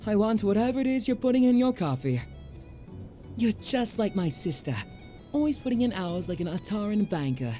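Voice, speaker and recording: A man's voice: sits high, very animated, moderate pace, mellow, soft background music. An actor playing a chatty, wry, cheerful townsman in a video game, with a glib, theatrical manner. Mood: upbeat, teasing.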